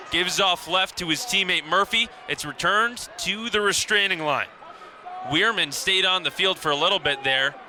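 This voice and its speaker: Noisy outdoor broadcast, young male play-by-play commentator, high voice, clipped descriptive phrasing, live radio style. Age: 20s. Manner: clipped descriptive phrasing, excited on big plays. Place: outdoor lacrosse stadium.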